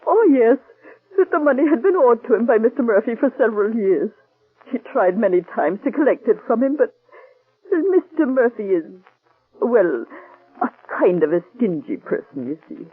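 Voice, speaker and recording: An actress playing a worried working-class wife who speaks anxiously and haltingly, in 1940s radio acting on an old broadcast. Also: female voice, a little high, very animated, husky, no echo, phone-quality sound. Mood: pleading. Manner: anxious, halting, plain-spoken.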